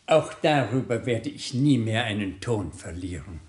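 An actor playing a stiff, flustered manservant in a 1960s radio play, speaking formally and hesitantly. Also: male voice, lowish voice, animated, a little slow, echoey room. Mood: sheepish. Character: stiff, flustered male manservant in a noble household.

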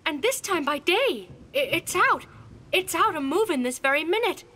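A woman's voice: extremely high pitch, animated, normal speed, sharp tone, exaggerated voice. A woman voicing a terrified rural villager, stammering and breathless with panic in a horror audio drama. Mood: panicked.

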